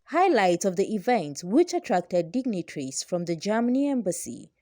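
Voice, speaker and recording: A woman's voice: medium pitch, sing-song, moderate pace, mellow, a little hiss or hum. A woman with a Nigerian accent reading a scripted news report as a reporter.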